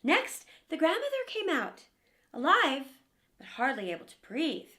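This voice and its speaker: A woman reading a fairy tale aloud to children as an expressive storyteller. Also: high-pitched, unhurried.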